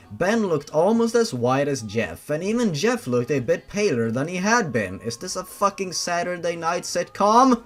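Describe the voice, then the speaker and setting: A sardonic man reading horror fan fiction as scripted narration, with mocking asides. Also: a little high. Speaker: story reader and host.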